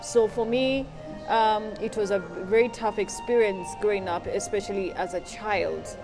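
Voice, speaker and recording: A woman from Nairobi, answering interview questions in an articulate, measured and earnest way. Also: medium pitch, over music, rough recording. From Kenya, Nairobi.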